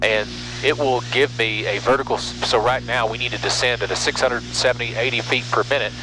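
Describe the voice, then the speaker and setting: A man's voice: mid-range voice, steady tone, quick pace. A middle-aged American man chatting and explaining casually as he flies, talking into an aviation headset. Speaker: pilot and vlog host. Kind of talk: casual explanatory vlog talk while flying. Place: cockpit of small propeller airplane. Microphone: aviation headset mic. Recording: loud aircraft engine hum, headset intercom.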